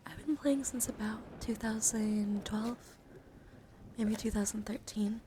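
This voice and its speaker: A woman streaming ASMR in a soft, breathy whisper, speaking unhurriedly and intimately close to the microphone. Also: a little high, fairly even intonation, a little slow. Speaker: livestream host. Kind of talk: whispered ASMR livestream talk. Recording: close whisper with clear background noise.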